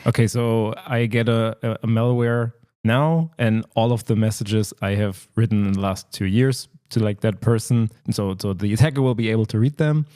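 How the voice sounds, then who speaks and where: A man's voice: fairly low pitch, steady tone, normal speed, hardly any pauses, warm tone, clean tone, no room sound, studio quality. A man hosting a conversational podcast interview, inquisitive and recapping things in plain words. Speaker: podcast host.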